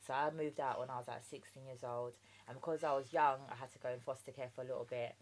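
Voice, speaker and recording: A woman in her thirties with a London accent talks through her experience as a guest on a podcast. Her voice sits low and deep. She is chatty and frank, and she keeps checking in with "do you know what I mean". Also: steady tone, fast. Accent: London English. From Jamaica. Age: 30s. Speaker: guest entrepreneur. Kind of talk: casual podcast interview.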